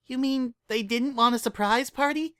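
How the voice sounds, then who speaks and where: A narrator voicing an excitable, chatty young female cartoon party pony with a squeaky, girlish, bubbly delivery. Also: normal speed, smooth, no room sound, clean studio sound, lightly acted. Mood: disappointed, pleading. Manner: bubbly, gushing, emphatic.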